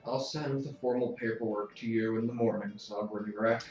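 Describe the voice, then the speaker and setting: A man's voice: lowish voice, steady tone, brisk, dull audio, over music, natural voice. A man playing an earnest, idealistic young revolutionary councillor in an audio drama, polite and questioning. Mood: resigned. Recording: roomy, distant mic with clear noise.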